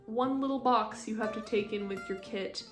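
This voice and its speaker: A woman's voice: a little high, normal speed, soft background music. A young woman giving casual, informal instruction to camera, explaining things in a chatty way. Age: young adult. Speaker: training instructor, assistant director. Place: living room, indoors. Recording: roomy indoor echo.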